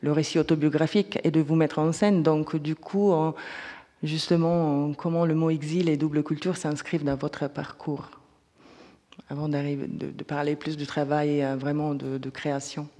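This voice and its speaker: A woman's voice: deep voice, flat delivery, moderate pace, dark tone. A woman moderating a panel, stumbling and repeating words as she asks her question. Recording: reverberant lecture hall.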